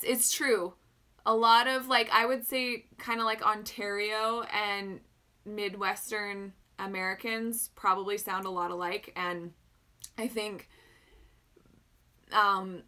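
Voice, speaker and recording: A woman's voice: medium pitch, pushed and bright. A young woman from northern Alberta with an Albertan Canadian accent, chatting casually and rambling as she answers viewer questions on a livestream. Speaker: maker hosting a livestream.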